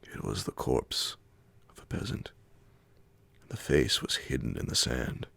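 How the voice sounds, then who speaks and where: A man reading classic horror fiction as an ASMR audiobook, in a hushed, measured, breathy and gravelly voice. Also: extremely low pitch, very animated, dry recording. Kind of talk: ASMR audiobook scripted narration.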